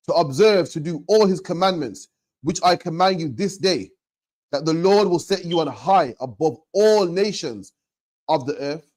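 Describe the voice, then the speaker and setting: A man preaching in an emphatic, repetitive way, hammering each point home. His voice sits a little higher than most men's. He ends his phrases with "man". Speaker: religious teacher. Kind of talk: street preaching to a questioner.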